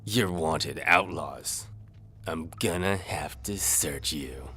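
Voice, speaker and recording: A man voicing a blustering, forceful mercenary villain in an audio drama, who passes himself off as English. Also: very deep voice, slow, breathy edge, full character voice. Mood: menacing. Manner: forceful, sputtering when exposed.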